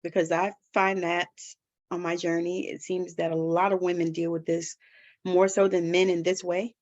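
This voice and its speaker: A woman host with a fairly low voice guides the interview, affirming her guest and asking reflective questions. Her audio sounds slightly muffled and lo-fi. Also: level tone, normal speed, darkish tone. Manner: affirming, frequent laughs and "mm-hmm". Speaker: podcast host. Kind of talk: interview conversation.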